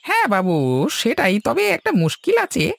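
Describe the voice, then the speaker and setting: A man's voice: extremely high pitch, huge pitch swings, normal speed, creaky, fairly bright tone, no echo, heavily acted. A man voicing a scheming, deferential village servant in a cartoon, wheedling his master. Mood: deferential, confiding. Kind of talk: Bengali cartoon voice acting. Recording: plucked-string music behind the voice.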